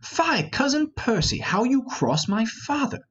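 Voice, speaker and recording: A man playing an earnest, lovestruck young lord in an amateur Shakespeare reading, expressive and lyrical. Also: very high-pitched, a little slow, dull audio, voice pushed up, heavily acted. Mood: earnest, admonishing.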